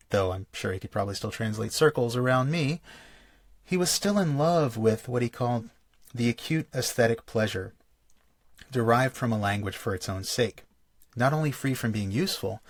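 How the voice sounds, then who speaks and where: A man's voice: mid-range voice, no room sound, clean studio sound. A man hosting a solo podcast, explaining in a thoughtful, lecture-like way and often restarting his phrases. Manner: thoughtful, reads quotations aloud, frequent restarts. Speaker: podcast host.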